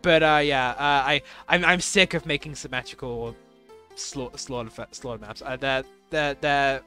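A man's voice: medium pitch, bright, forceful tone. A young man casually commenting on his own game levels as he plays, rambling and self-deprecating, with lots of fillers and restarts.

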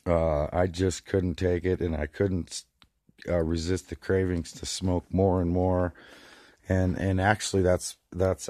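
A hoarse man, about forty, rambling in a casual vlog, filmed on a handheld phone inside a small greenhouse. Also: sits low, warm tone, no room sound. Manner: rambling, many restarts and fillers. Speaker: vlogger. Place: small plastic greenhouse, indoors. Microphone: phone camera held close.

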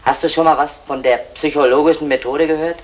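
An actor playing a cocky, bossy safecracker with a sly, mocking manner in a vintage German radio crime comedy. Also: male voice, on the high side, fairly even intonation, fast, natural voice. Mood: scheming. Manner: sly, mocking, baby-talk diminutives. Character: cocky, scheming male safecracker, the gang's bossy planner. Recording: noisy vintage 1960 radio recording.

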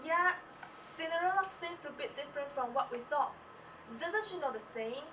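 High-voiced teenage girl presenting from afar. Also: lively intonation, moderate pace. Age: teens. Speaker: student presenter. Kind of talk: scripted stage presentation. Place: small presentation stage indoors. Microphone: far camera. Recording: noisy room, distant microphone.

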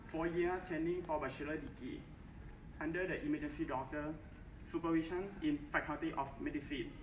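A man's voice: a little high, fairly even intonation. Young Thai man presenting in an echoey hall. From Thailand. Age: young adult. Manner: careful, halting English with simple phrasing. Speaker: conference presenter. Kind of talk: formal research presentation. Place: large bright conference hall. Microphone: far camera, no mic. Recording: echoey hall from far off, faint hiss.

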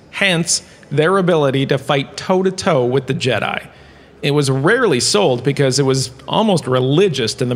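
Theatrical, vain professor, played by a man, swooping pitch. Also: fairly bright tone. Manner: dramatic, showy, pompous. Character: vain, theatrical male professor lecturing on galactic history. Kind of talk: scripted comic lecture. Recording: long hall-like reverb.

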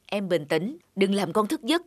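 Guilty, pleading cartoon husband. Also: male voice, high voice, sing-song, moderate pace, dry recording, clean studio sound. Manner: contrite, imploring. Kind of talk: cartoon drama dialogue.

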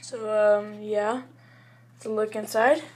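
A woman giving a casual, rambling home review in a halting, offhand manner. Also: mid-range voice, level tone, darkish tone, slight background noise. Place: small bedroom at home, indoors. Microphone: handheld camera or phone.

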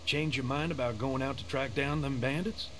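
A man's voice: medium pitch, fairly even intonation, moderate pace, fairly bright tone, a little hiss or hum, lightly acted. An actor playing a wary, folksy rural man in a video game, with a cautious delivery. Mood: matter-of-fact.

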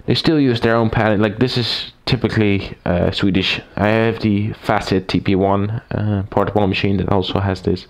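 A man with a raspy voice, recovering from a cold, casually reviewing a typewriter at his desk, rambling with fillers and restarts. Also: lowish voice, fairly even intonation, moderate pace, few pauses. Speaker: typewriter collector reviewing a machine. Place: small room at a desk, indoors. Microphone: camera mic, speaker off-camera.

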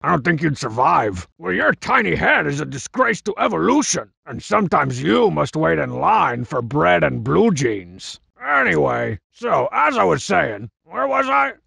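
A man voicing a cocky, scheming cartoon masked wrestler for a comedic video game, with a gravelly, strained rasp and a bragging, sarcastic, mock-cool manner. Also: fairly high pitch, big pitch swings, a little slow, hardly any pauses, sharp tone, dry recording, full character voice. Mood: boastful, mocking, then flustered, rambling.

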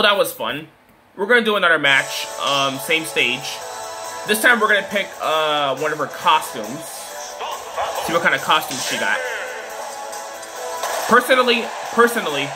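Gamer dude narrating his match, bit high voice, stutters sometimes, music playing under it. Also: expressive, normal speed, long pauses. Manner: chatty, self-described stutter, many fillers; whoops loudly at big plays. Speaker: gaming video creator. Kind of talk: casual gameplay commentary.

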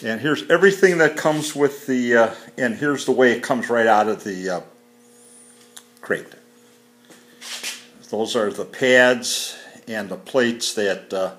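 A man's voice: lowish voice, a bit bright. A man casually walking through a garage, talking off the cuff with lots of fillers in a matter-of-fact way, filmed on a handheld phone. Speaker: home mechanic reviewing a tool. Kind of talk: casual unscripted video walkthrough. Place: home garage.

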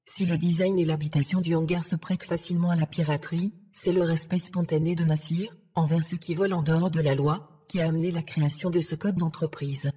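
Low, husky synthetic female assistant voice. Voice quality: synthetic text-to-speech voice. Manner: measured, formal, deadpan synthetic delivery. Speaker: AI ship assistant. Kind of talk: scripted informational narration.

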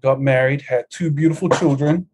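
A man's voice: medium pitch, fairly even intonation, moderate pace, darkish tone, slightly rough. Laid-back New man telling his story. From New Zealand. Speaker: musician guest. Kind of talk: casual interview chat. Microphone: video call.

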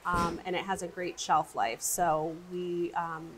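A woman's voice: fairly low pitch, little pitch movement, unhurried, low-quality recording. A woman, the director of a children's museum, explaining its play areas in an upbeat interview with frequent fillers. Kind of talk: casual interview. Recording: audible background noise.

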